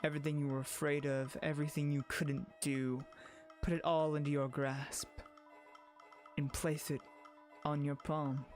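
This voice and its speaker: A sinister tempter, played by a man from a visual novel dub, with a somewhat high-pitched voice. He coaxes and taunts, insinuating with leading questions and dangling power. Music runs underneath. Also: level tone, measured pace, close to an everyday voice. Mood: seductive, exultant.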